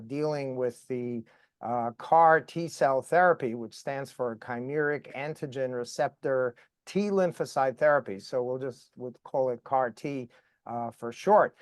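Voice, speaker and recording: Raspy-voiced man hosting a podcast interview. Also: mid-range voice, no room sound, clean studio sound. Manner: enthusiastic, halting with many fillers. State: raspy from a recent cold. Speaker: podcast host.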